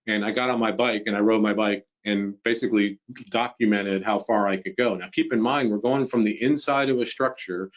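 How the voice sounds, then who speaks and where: A middle-aged American man with a low voice explains a product in a webinar. He talks conversationally, as if walking people through slides. The audio is slightly dull and lo-fi, like a video call from a small room. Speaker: webinar presenter, product trainer. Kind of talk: informal product webinar presentation.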